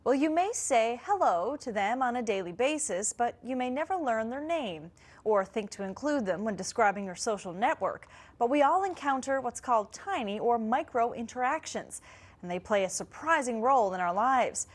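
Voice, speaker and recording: Woman TV host, fairly high voice. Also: animated, moderate pace. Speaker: TV news show host. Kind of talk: morning news presenting and interviewing.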